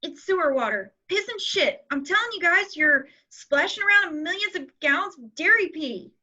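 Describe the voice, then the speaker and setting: A woman's voice: extremely high pitch, lively intonation, normal speed, pushed and bright, exaggerated voice. A woman reading the part of an anxious, germ-fearing boy in a worried, whiny way. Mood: nervous. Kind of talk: table read over a call. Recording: dull lo-fi.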